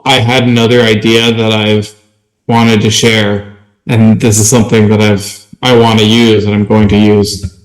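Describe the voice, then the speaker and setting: A man's voice: on the low side, level tone, unhurried, poor audio. A man co-hosting a podcast, chatting casually about tabletop gaming. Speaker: podcast co-host.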